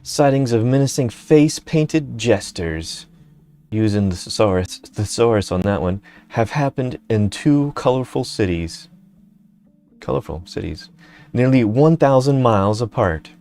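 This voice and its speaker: A man's voice: mid-range voice, animated, darkish tone, soft background music. A man co-hosting a casual live stream, laughing often and reading chat and articles aloud. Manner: laid-back, laughing often, reads aloud haltingly. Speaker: streamer co-host.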